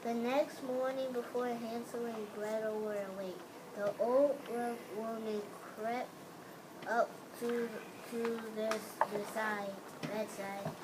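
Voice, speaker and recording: Female narrator, very high voice, slow halting fairy tale reading, noisy recording. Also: little pitch movement. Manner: halting, stumbling reading with repeated words. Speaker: storybook reader.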